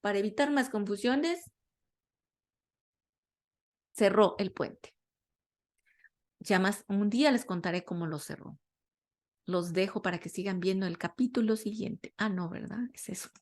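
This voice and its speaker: A Latin American woman teaching a metaphysics class in a conversational way, often pausing to search for words and correct herself. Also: medium pitch. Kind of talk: informal spiritual class talk.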